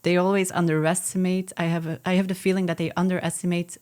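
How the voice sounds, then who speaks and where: A woman with a Dutch accent answers interview questions in a low, level voice. She speaks thoughtfully, pausing and hesitating as she works out what she means. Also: little pitch movement, darkish tone, clear, resonant tone. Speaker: musician guest. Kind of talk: casual interview.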